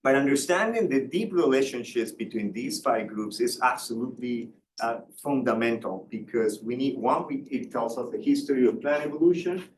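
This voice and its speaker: A man's voice: mid-range voice, normal speed, few pauses, roomy sound, recorded from a distance, slight background noise, rough recording. A man from Colombia giving a university seminar lecture, hesitant with many fillers and restarts. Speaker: guest lecturer. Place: lecture room.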